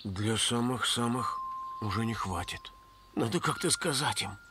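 A man playing an earnest, gloomy, portly schoolboy in a cartoon, speaking solemnly and deliberately. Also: mid-range voice, background noise, lightly acted. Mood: apologetic. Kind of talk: cartoon voice acting.

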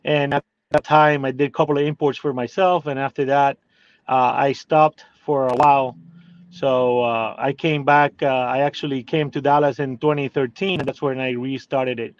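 A man's voice: mid-range voice, level tone, moderate pace. Cuban-accented man, hesitant, in a car. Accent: Cuban Spanish. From Cuba. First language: Spanish. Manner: hesitant, many "uh" fillers and restarts. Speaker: guest. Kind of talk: casual interview. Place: inside a parked car. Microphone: phone video call. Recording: dry, slightly dull phone audio.

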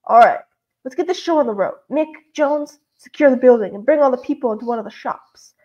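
A woman voicing an irritable male gang leader with a commanding, rough manner in a storybook reading. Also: sits high, a little fast, warm tone, muffled recording, close to an everyday voice. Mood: bossy. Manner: commanding, rough casual speech.

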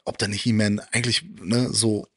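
A man's voice: on the low side, flat delivery, moderate pace, husky, dry recording, studio quality. A middle-aged man in casual, slangy, chatty conversation, often tagging his phrases with a short question. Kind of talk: casual chat about retro cartoons. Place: small indoor room with shelves of films and games. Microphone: handheld microphone held close.

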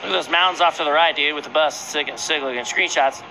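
A man's voice: fairly high pitch, low-quality recording. Blunt, sweary young male gamer. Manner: blunt, sweary, quick callouts. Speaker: teammate. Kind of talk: casual gameplay chat. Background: music playing.

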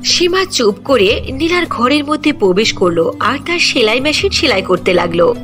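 A woman's voice: a little high, big pitch swings, normal speed. A woman narrating a scripted fairy-tale cartoon as its storyteller. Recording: music behind the voice.

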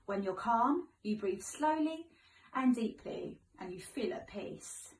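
A British woman in her thirties, a teacher reading a picture book aloud to young children in an expressive, gentle way. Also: fairly high pitch, takes it slowly, slight background noise. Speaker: primary school teacher. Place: living room, indoors. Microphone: phone camera a few metres away. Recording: echoey room, far from mic.

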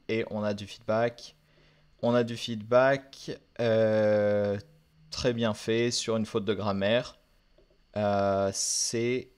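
A man's voice: on the low side, clear, resonant tone. Chatty French man reviewing apps. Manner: chatty, frank, thinks aloud with many fillers. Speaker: language teacher. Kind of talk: casual app review vlog. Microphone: camera mic.

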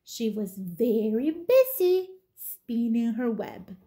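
A young woman with a high voice reads a picture book aloud for toddlers. Her delivery is very slow and deliberate, with animated, sing-song swings in pitch, as a teacher speaks to small children. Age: young adult. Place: small living room, indoors on a couch.

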